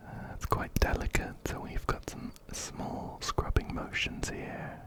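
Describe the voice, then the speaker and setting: A man hosting an ASMR game playthrough in a gentle, breathy whisper, very close to a binaural microphone. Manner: gentle, unhurried, soft-spoken. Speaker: ASMR gaming host. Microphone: close binaural microphone, ear to ear.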